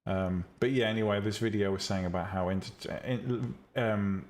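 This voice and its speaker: Deep, flat-voiced man chatting. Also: normal speed. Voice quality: calm, relaxing voice. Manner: blunt, opinionated, frequent ums and restarts. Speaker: streamer teaching 3D rendering and visual novel making. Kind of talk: casual live-stream chat with viewers.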